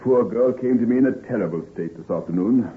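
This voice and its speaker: A man acting as a loyal friend who is concerned and exasperated. His fairly low voice is brisk and blunt, remonstrating in old-time radio manner. The recording is narrow and muffled, like a vintage broadcast. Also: lightly acted.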